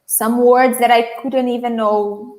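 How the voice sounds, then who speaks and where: A woman's voice: on the high side, little pitch movement, measured pace, a little mellow. A Brazilian woman with a Brazilian accent, a native Portuguese speaker, chatting casually as a teacher in a live class. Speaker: language teacher.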